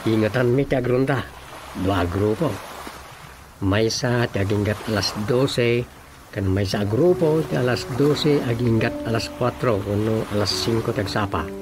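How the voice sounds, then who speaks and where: A man's voice: fairly low pitch, normal speed, background noise, natural voice. A man with an Ilocano accent playing an earnest village son in a radio drama. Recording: radio drama over clear music bed.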